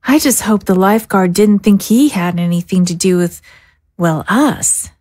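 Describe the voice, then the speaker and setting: A woman's voice: mid-range voice, normal speed, darkish tone, no echo, clean studio sound, natural voice. A woman playing a guarded, disillusioned young woman in an audio drama, speaking in a hesitant, downbeat way. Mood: subdued, sad. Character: guarded, disillusioned young woman, modest former militia member.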